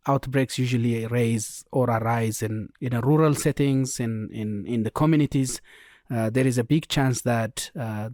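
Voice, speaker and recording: A man with an Ethiopian accent, explaining as an expert guest in a podcast interview in a measured way. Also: medium pitch, darkish tone, no room sound, studio quality. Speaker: genomics programme lead, interview guest.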